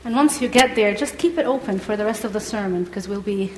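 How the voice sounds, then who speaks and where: A woman's voice: medium pitch, fast, a little mellow. A woman from Egypt with an Egyptian accent, preaching a sermon, reading scripture and asking the congregation questions. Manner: engaging, asks the congregation questions. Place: church hall. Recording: echoey hall with long reverb.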